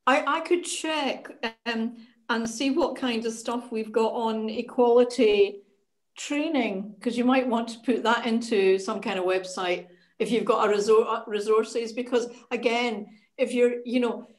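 A woman's voice: fairly high pitch, unhurried. A woman on a video call, offering help and repeating words as she starts sentences. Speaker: workshop participant.

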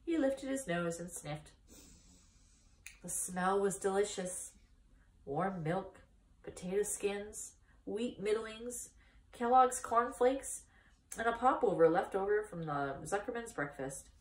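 A woman in her thirties reading a children's book aloud as expressive storytime narration. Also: medium pitch, slow pace, pauses often. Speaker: storytime reader. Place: small indoor room, seated by a wall. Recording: echoey room, distant microphone.

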